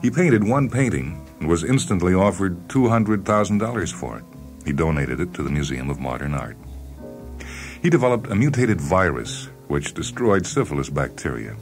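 A man narrating a short story in a measured, deliberate, dry deadpan way, as a scripted dramatic reading. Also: low-pitched. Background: background music.

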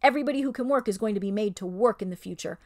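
A woman's voice: medium pitch, racing, a little mellow, natural voice. A woman voicing a scheming, bullying male ape who snarls and lords it over the other animals in a storybook reading. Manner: snarling, domineering, sly.

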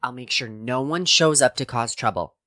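A woman playing a polite, precise AI helper in a science fiction audio drama, speaking in formal, measured phrases. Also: a bass voice, sharp tone, no echo, clean studio sound. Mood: hesitant, pleased. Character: polite, precise robot AI helper, a little unsure.

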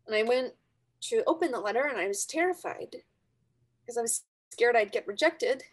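Woman acting as high-voiced defiant young daughter. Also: lightly acted. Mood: hurt, subdued. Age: young adult. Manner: emotional, argumentative. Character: defiant grown daughter, young ballerina standing up to mother. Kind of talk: dramatic staged reading over video call. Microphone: video call at desk distance.

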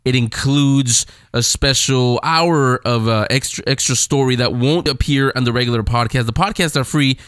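A man's voice: mid-range voice, moderate pace, hardly any pauses, a bit bright, clear, resonant tone, no echo, studio quality. A man presenting a podcast casually as its host.

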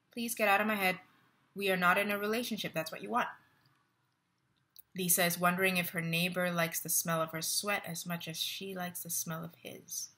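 A woman's voice: fairly low pitch, bright, forceful tone. A young woman acting a stage monologue as a lonely, wry, restless woman reading out her online status updates. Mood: pleading. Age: young adult. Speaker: actor in a staged play reading. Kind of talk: dramatic monologue read as social media status updates. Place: living room at home. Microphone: laptop webcam at close range.

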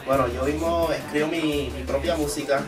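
A man's voice: a little high, steady tone, moderate pace, music in the background. A Puerto Rican man, a guest musician, giving plain, brief answers about his songs. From Puerto Rico. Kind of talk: casual interview answers. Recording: echoey room, mic far off.

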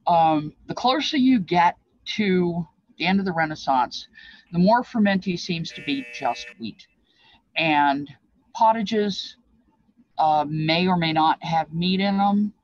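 A woman's voice: lowish voice, no room sound. Older woman, informal explainer, rambling, reflective, reminiscing, lo-fi webcam sound. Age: older, past fifties. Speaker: presenter explaining feast dishes. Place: small cluttered craft room, indoors. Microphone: webcam at desk distance.

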